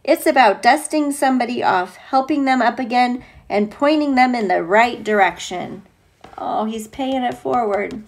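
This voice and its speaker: A woman teacher reading a picture book aloud to young children, expressive and playful, with gushing, chatty asides. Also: a little high, normal speed.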